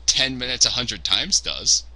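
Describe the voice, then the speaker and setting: A man's voice: mid-range voice, sing-song, measured pace, sharp tone, rough recording. A man co-hosting a casual livestream podcast, joking crudely, swearing and laughing at his own jokes. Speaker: podcast co-host.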